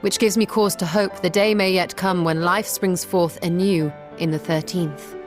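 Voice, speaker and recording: A woman acts as a learned female sage in a fantasy game. Her voice sits low, with measured, formal, patient phrasing and soft music behind. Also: steady tone, close to an everyday voice. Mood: earnest, hopeful. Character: composed, scholarly female sage, patient and hopeful. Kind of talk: dramatic video game cutscene acting.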